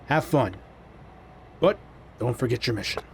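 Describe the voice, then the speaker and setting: A man's voice: medium pitch, animated, unhurried, vocal fry, a little hiss or hum. A man playing a suave, commanding magician in an audio drama. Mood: calm, encouraging. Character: suave, composed, commanding male magician named Rao.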